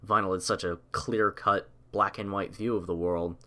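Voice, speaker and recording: A man reading a story aloud as scripted audiobook narration, in a measured, literary storytelling manner. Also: low voice, fairly even intonation, pushed and bright.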